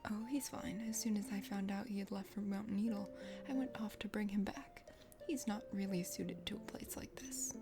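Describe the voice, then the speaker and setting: A woman voicing a chatty, condescending dragon sister from a forest kingdom in a video game, speaking formally in a soft ASMR whisper. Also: medium pitch, steady tone, normal speed, pitched below the performer's own voice, natural voice. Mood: condescending, composed. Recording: music behind the voice.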